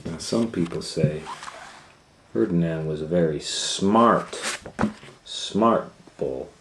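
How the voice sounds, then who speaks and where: A man reading a children's storybook aloud as a host, in a dramatic, teacherly manner, pausing to comment and ask questions. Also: deep voice. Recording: echoey room with faint noise.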